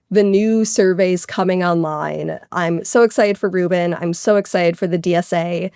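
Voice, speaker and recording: A woman's voice: mid-range voice, darkish tone, smooth, no echo, studio quality. A woman speaking as an astronomer guest in an interview, explaining technical things in a keen, informal, conversational way. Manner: keen, informal, technical with frequent hedging asides.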